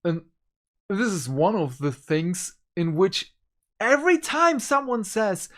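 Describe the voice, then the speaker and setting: A host giving a casual review to viewers, speaking in an emphatic, deliberate way. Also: mid-range voice, expressive. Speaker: book reviewer and channel host.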